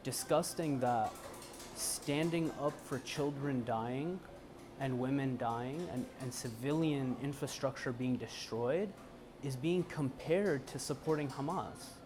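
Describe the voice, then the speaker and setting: A young man, a college student, answering an interviewer in a halting way. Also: medium pitch. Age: young adult. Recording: music behind the voice. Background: music.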